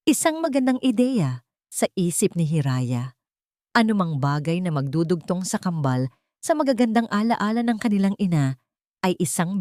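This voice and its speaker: A woman narrating a scripted drama as a storyteller, in a measured, suspenseful way with dramatic pauses. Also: medium pitch, darkish tone, no room sound, clean studio sound.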